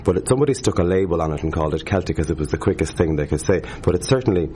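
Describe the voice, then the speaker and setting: A man, a harpist, chatting in an interview, animated and rambling, with many restarts. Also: low-pitched, rapid-fire, a little hiss or hum. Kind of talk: casual interview chat.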